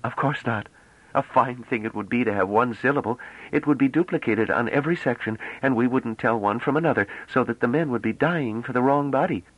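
A man's voice: mid-range voice, fairly quick, dry recording, lo-fi sound, voice pushed up, natural voice. A man reading an audiobook, voicing a playful, confident young woman who talks in a teasing, slightly formal way. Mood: chatty.

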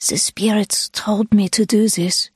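A woman's voice: medium pitch, steady tone, measured pace, darkish tone, slightly rough, no echo, pitched above the performer's own voice. A woman voicing an earnest, spiritual woman who speaks solemnly in stilted phrases, for an audiobook. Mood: mystical, solemn. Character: earnest Swiss woman, spiritual, devoted foot healer. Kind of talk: audiobook character voice.

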